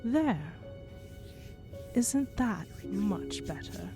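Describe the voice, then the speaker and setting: An actress playing a caring, teasing and gently bossy young wife in an audio drama. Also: female voice, mid-range voice, big pitch swings, very slow, over music. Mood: caring, firm. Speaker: voice actor.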